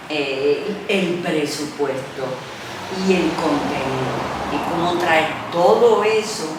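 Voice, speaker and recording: A middle-aged Puerto Rican woman, a journalist, answering interview questions in an animated way, with many "eh" pauses and English fillers. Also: sits low. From Puerto Rico. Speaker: journalist, former news director. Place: art gallery space. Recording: echoey room, mic far off, noisy.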